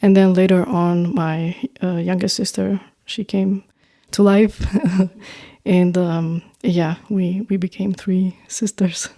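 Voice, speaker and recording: Soft mellow woman with an Albanian accent. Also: fairly low pitch, little pitch movement, slow. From North Macedonia, Albanian family. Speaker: interview guest, musician. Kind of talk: reflective casual conversation.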